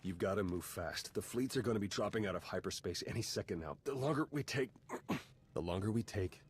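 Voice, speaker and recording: Male actor: deep-voiced, wry hacker-spy in a game, dry humor, quick to the point. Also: slight background noise, lightly acted. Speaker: spy and slicer. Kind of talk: video game cutscene acting.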